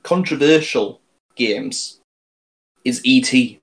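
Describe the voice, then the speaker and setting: A man co-hosting a casual podcast chat, talking haltingly and often restarting his sentences. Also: mid-range voice, animated. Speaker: podcast co-host.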